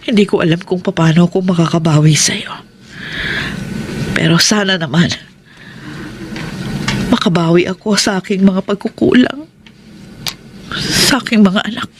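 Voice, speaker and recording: A woman's voice: lowish voice, a little slow, long pauses, noisy background, natural voice. A woman with a Filipino accent playing a humble, apologetic middle-aged mother in a radio drama. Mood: grateful, remorseful. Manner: apologetic, meek, hesitant. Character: humble, remorseful mother seeking her children's forgiveness.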